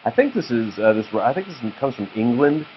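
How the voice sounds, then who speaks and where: Dad reading a picture book out loud, very lively pitch, patient, slightly muffled audio. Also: male voice, a little fast. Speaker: father reading aloud to his child. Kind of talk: read-aloud children's storytelling. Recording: slightly muffled, faint background noise.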